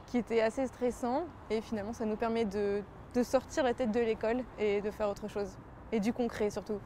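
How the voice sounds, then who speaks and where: A young woman with a fairly high, very mellow voice chats in an interview as a student. Faint outdoor traffic noise runs behind her. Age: young adult. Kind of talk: casual interview.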